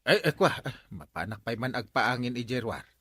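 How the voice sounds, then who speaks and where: A man playing a lazy, bossy villager in a comedy audio drama, whiny, excitable and evasive. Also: medium pitch, normal speed, slightly rough, no room sound, studio quality. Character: lazy bossy village man who orders others to do chores. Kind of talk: comedy radio drama acting.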